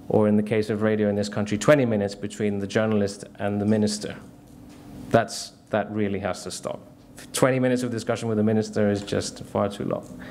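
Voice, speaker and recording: A man's voice: lowish voice, a little mellow, a little hiss or hum. A man from Cyprus delivering a conference speech in a casual way, with many fillers. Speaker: conference speaker, community media director. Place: conference hall.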